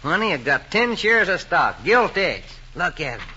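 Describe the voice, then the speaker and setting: Bright-voiced, eager Southern farmer father. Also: a little high, sing-song, normal speed, slightly rough, full character voice. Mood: eager, boastful. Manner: folksy, eager, coaxing talk. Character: gullible, hopeful farmer father chasing get-rich schemes. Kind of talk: old-time radio drama acting. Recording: dull, noisy old radio recording.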